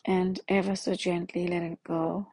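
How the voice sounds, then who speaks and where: A woman leading a guided meditation in a slow, soothing manner with long pauses. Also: on the low side, level tone, darkish tone, slightly rough, no echo.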